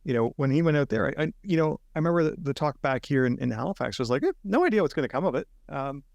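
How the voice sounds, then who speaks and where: A man's voice: fairly high pitch, animated, fast, gravelly, a little mellow, dry recording, highs cut off. A man co-hosting a podcast, talking casually over a video call in rambling sentences with many restarts. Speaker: podcast co-host.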